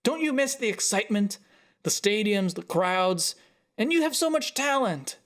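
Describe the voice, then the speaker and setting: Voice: mid-range voice, expressive, moderate pace, fairly bright tone, voice pushed up, close to an everyday voice. A narrator voicing a pushy, dismissive father of a grown daughter in a story reading. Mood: coaxing. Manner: pressing and dismissive. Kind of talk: dialogue in a narrated short story.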